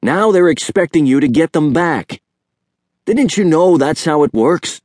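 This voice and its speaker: A man's voice: a little high, animated, unhurried, darkish tone, no room sound, studio quality, voice pushed up. A man voicing a friendly, teasing uncle in an audiobook, folksy and encouraging. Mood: amused, reassuring.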